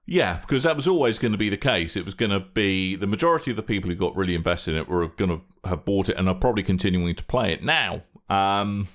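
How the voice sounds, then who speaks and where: Podcast host, British man, animated swooping delivery, playful asides. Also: deep voice, fast talker, few pauses. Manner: jokey, lively, rambling asides. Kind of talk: casual banter.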